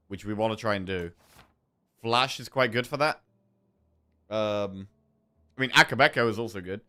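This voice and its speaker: A man's voice: lowish voice, bright, forceful tone. A man with a sore throat giving casual gameplay commentary as a streamer, thinking aloud through his choices. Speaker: game streamer.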